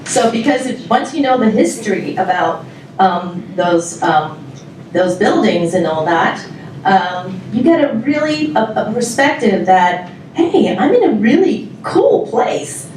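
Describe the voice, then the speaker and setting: A woman's voice: medium pitch, big pitch swings, darkish tone, slight background noise. Giggly woman in an echoey hall. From Taiwan. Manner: chatty, giggly, halting with many fillers. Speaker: music club organizer presenting a show. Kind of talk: informal welcome speech to an audience. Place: large indoor hall with live audience. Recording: very echoey hall, mic far off.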